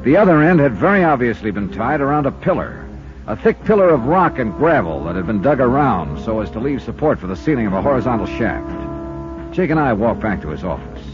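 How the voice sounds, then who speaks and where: An actor playing a tough, wry American insurance investigator in a 1950s radio drama, speaking and narrating in a clipped, dry manner. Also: male voice, medium pitch, big pitch swings, brisk, music in the background, natural voice. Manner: clipped, dry, hard-boiled. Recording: dull lo-fi 1950s radio recording.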